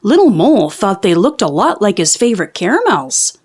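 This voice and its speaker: A woman reading a children's storybook aloud in an expressive, theatrical and deliberate storytelling manner. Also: mid-range voice, husky. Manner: theatrical, deliberate storytelling with drawn-out sound words. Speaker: children's book read-aloud host.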